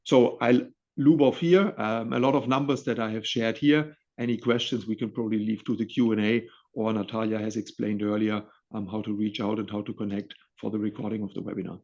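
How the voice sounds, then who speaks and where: A low-pitched man with a gravelly edge walks through market index results in a webinar. He speaks haltingly, with many fillers and restarts. The sound is muffled and lo-fi, like a video call. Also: fairly even intonation, a little mellow. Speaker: index provider presenter. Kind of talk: webinar presentation.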